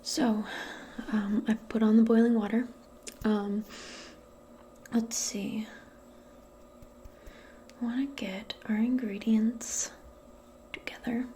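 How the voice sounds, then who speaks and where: A woman speaks in a soft, breathy half-whisper, keeping her pitch very even and her pace slow. She plays a gentle modern witch in an unhurried, improvised ASMR roleplay, with faint noise behind her.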